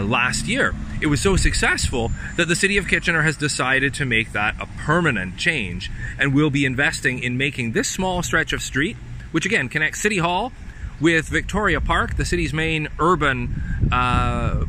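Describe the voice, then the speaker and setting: A man, an urban planning professor, explaining city development in a measured way on an outdoor walking tour. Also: mid-range voice, pushed and bright. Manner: measured, explanatory, with occasional restarts. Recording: outdoor street with traffic noise.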